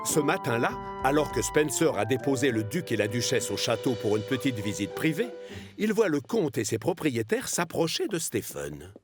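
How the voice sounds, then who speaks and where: A man's voice: mid-range voice, very animated, moderate pace, soft background music. A man narrating a children's cartoon in a playful storytelling way.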